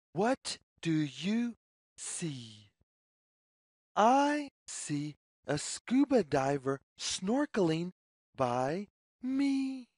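Very slow, sing-song woman reading to children. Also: mid-range voice, darkish tone, dry recording, studio quality. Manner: sing-song, deliberate word-by-word phrasing. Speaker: story reader. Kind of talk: children's picture-book read-aloud.

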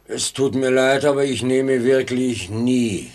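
A man's voice: mid-range voice, slow pace, a little mellow. An actor playing a brooding, menacing man in a mystery radio play, in 1940s acting style on an old recording. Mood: quietly menacing.

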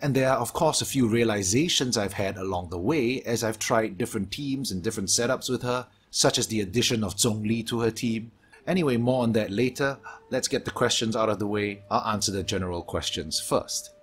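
A man from Singapore with a Singaporean English accent, reading a scripted gaming Q&A voice-over in a steady, measured way from his home hobby room, his s sounds sharp and a low hum behind him. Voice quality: strong sibilance, low hum from aquarium pumps. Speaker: gaming YouTuber.

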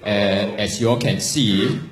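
Man doing stand-up in a club. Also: lowish voice. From Singapore. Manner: deadpan, slow punchline delivery. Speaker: stand-up comedian. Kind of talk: stand-up set. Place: comedy club stage. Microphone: handheld mic. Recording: echoey club, audience noise behind.

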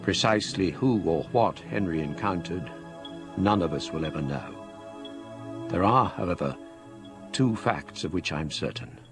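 An actor playing a scholarly middle-aged gentleman, gravely recounting a ghostly ordeal in measured literary radio-drama narration. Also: low-pitched, expressive, music in the background.

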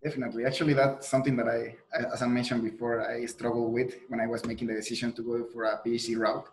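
A man's voice: mid-range voice, little pitch movement, fast talker, a little hiss or hum, poor audio. A young man from Mexico, a native Spanish speaker with a Mexican Spanish accent, answering interview questions earnestly over a video call, with frequent restarts and fillers. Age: young adult. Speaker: guest, PhD student. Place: indoor room on a video call.

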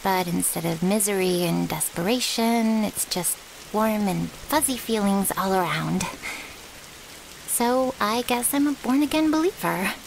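A woman's voice: mid-range voice, unhurried, gravelly, low-quality recording. A woman playing a cheeky, loyal vampire's servant in an intimate ASMR audio roleplay, with a casual, teasing way of talking. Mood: tender. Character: cheeky, flirty, loyal female thrall of a vampire. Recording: hissy, rain-like background noise.

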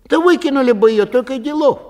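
A man's voice: very high voice, animated, fast, a little mellow, voice pushed up. A man playing a naive, earnest citizen in a comic story, effusive and pleading in colloquial speech. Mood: delighted, effusive. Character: naive, pleading, grateful man who lost a galosh. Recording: long hall reverb.

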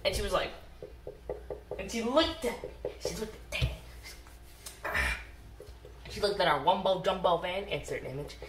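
A young male talks casually with a dramatic, exclamatory manner while co-hosting a drawing session. The room is echoey and there is clear noise on the recording. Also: sits high, animated, moderate pace, long pauses, far from the mic. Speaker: co-host drawing with a parent. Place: indoors at a wooden table. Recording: echoey, noisy home recording.

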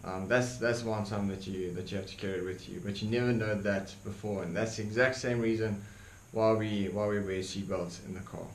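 Young Afrikaans-accented man, earnest opinion vlogger, fairly low voice, echoey room. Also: fairly even intonation, brisk. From South Africa. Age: young adult. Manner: earnest, frequent ums and repeated words, gestures with hands. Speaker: YouTuber. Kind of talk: opinion monologue to camera. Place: dark indoor room, seated by desk. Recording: echoey room with faint hiss.

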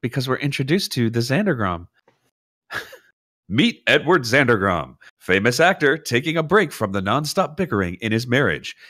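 A man's voice: mid-range voice, big pitch swings, moderate pace, a bit bright. A man reading a script aloud comically as part of a live podcast. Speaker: podcast reader.

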